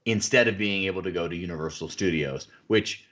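A man's voice: low-pitched, a little fast, fairly bright tone, highs cut off. A man hosting a podcast, recapping a film in casual, chatty, wordy banter. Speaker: podcast host.

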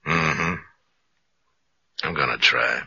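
Stern weary western marshal, very deep, gritty and forceful, terse delivery, dull vintage radio sound. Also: male voice, gravelly, slightly rough, no echo, exaggerated voice. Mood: cold, resolute. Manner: terse, dry, deliberate. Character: stern, weary Old West lawman. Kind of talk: 1950s radio western acting. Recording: dull old radio broadcast recording.

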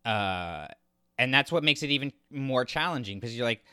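Bright, forceful man reasoning aloud on podcast. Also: mid-range voice, lively intonation, moderate pace, clean tone, no echo, clean studio sound. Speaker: podcast co-host. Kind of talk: casual analytical discussion.

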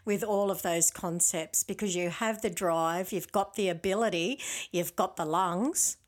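A woman's voice: fairly low pitch, a little slow. A woman hosting a podcast interview, encouraging and eagerly agreeing with her guest. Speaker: podcast host. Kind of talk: casual interview chat.

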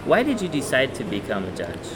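A man hosting a casual podcast interview, chatty and relaxed, with many fillers and restarts, recorded at a busy event venue. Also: medium pitch, a little fast, noisy background. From not from Japan. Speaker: podcast host. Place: event venue with background noise.

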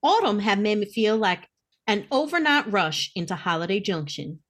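Female podcast co-host, average pitch, casual and teasing delivery of narrated fiction. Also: animated, moderate pace. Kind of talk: casual read-aloud of a novel, with joking.

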